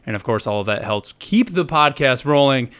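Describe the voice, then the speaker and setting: A man's voice: medium pitch, animated, normal speed, fairly bright tone, smooth. A man hosting a live gaming podcast, talking in an upbeat, chatty way. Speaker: podcast host.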